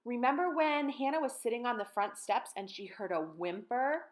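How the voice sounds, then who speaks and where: A woman's voice: on the high side, normal speed, heavy background noise. An American woman in her thirties, a teacher reading and talking to young children in simple, guiding phrases. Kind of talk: picture-book read-aloud and lesson for children.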